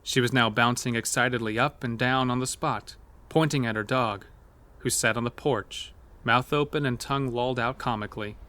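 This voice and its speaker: A man's voice: mid-range voice, fairly even intonation, normal speed, bright, forceful tone, close to an everyday voice. A man narrating a scripted horror audio drama, telling a grisly tale as its storyteller. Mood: measured, grim.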